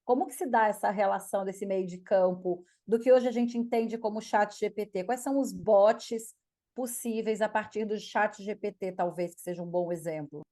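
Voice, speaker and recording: A woman hosting a podcast, chatting and asking her guest questions in a lively, animated way. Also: medium pitch, normal speed. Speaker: podcast host. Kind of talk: casual interview conversation.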